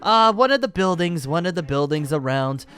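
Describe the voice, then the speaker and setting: Flamboyant, swooping dragon-slayer wizard. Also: male voice, fairly high pitch, lively intonation, moderate pace, fairly bright tone, soft background music. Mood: gleeful, boastful. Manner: theatrical, flamboyant, cackling, pompous. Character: exuberant, boastful male celestial dragon-slayer wizard, grandly genteel. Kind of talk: improvised Minecraft fantasy roleplay acting.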